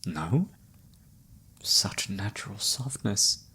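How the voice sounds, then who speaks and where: A man playing a flirty, theatrical travelling palm reader in a fantasy ASMR roleplay, teasing and dramatic with a breathy, husky voice. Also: fairly low pitch, animated, very slow, sharp tone, full character voice. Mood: flirty, soft.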